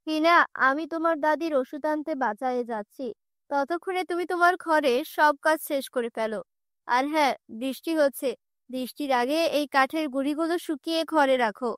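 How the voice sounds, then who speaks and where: Lady as a tired poor cartoon mom, naggy and bitter, highish voice, no echo at all. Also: normal speed, a bit bright, clean studio sound. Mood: instructing. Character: poor, overworked, scolding mother, bitter and weary. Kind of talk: cartoon fairy-tale dialogue.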